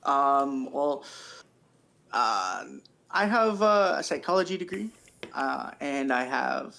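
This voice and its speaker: A man's voice: mid-range voice, animated, takes it slowly, creaky, bright, forceful tone. A man with a Canadian accent chatting casually as a podcast guest, hesitant and easygoing. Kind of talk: casual chat.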